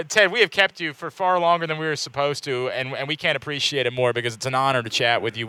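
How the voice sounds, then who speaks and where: Man, smooth clear voice, dry close sound, effusive podcast host. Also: mid-range voice, sharp tone, dry recording. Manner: effusive, thankful, many fillers. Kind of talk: casual podcast chat.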